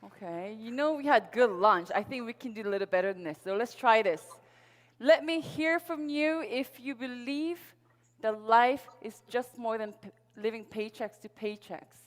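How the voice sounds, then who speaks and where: A woman's voice: a little high, moderate pace. Woman presenting on stage in a reverberant hall. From Seoul, South Korea. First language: Korean. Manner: upbeat, rallying the audience, personal storytelling. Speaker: sales presenter at a network marketing event. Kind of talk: motivational stage presentation to an audience. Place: large event hall on stage.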